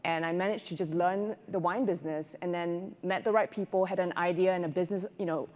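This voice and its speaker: A middle-aged woman from Singapore answering conversationally as a panelist, halting as she thinks aloud, on a lapel mic on a large stage. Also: lowish voice, fairly even intonation, darkish tone. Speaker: panelist, restaurateur and business owner. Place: large indoor conference stage. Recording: echoey auditorium stage, long reverb.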